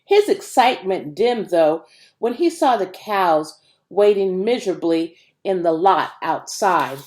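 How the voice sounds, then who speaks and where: A woman reading a children's novel aloud as scripted narration, in an expressive, dramatic manner. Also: on the low side, a little slow. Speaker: audiobook reader.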